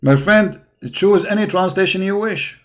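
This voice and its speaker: A man with a fairly high voice and Arabic as his first language hosts a religious debate. He argues insistently and combatively, hammering his phrases again and again for emphasis. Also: a little slow, a bit bright. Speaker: debate show host. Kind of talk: argumentative religious debate with a caller.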